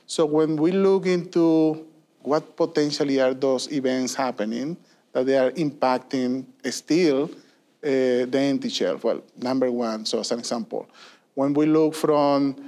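A man's voice: mid-range voice, a little slow, dark tone. A man, a guest answering interview questions earnestly, with many fillers and tag questions. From Venezuela. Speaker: guest, industry consulting leader.

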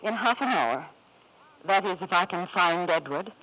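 A woman's voice: on the low side, expressive, moderate pace, dull audio, lightly acted. An actress playing a bitter, weary wife and anxious mother in a 1950s radio drama, formal and emotional, on an old broadcast recording. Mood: cold, defiant.

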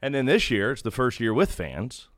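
A man's voice: mid-range voice, big pitch swings, normal speed, a bit bright, no echo, clean studio sound. A man who works as a sports radio announcer, chatting casually and earnestly as a podcast guest. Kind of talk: casual interview chat.

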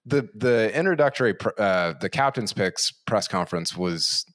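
A man's voice: on the low side, expressive, moderate pace, fairly bright tone. A man co-hosting a casual sports podcast, talking hesitantly with restarts and an amused tone. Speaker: podcast co-host. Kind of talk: casual sports roundtable chat.

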